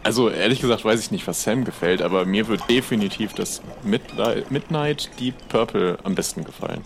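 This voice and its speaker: Gravelly, chatty man role-playing. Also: lowish voice, fairly quick, a little hiss or hum. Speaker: player in an improvised pirate role-play podcast. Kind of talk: casual in-character improvised dialogue.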